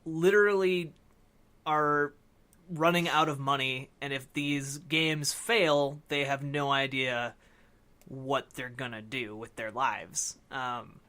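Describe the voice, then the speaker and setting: A man's voice: medium pitch, steady tone, slow pace, sharp tone. A man co-hosting a podcast, discussing film casually and thinking aloud as he explains. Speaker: podcast co-host.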